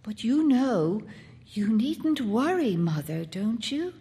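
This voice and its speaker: A woman's voice: fairly high pitch, sing-song, takes it slowly, warm tone, full character voice. Overwrought, insistent young boy voiced by a woman. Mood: insistent reassurance. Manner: pleading, insistent, polite. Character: overwrought, obsessive, secretive young English boy.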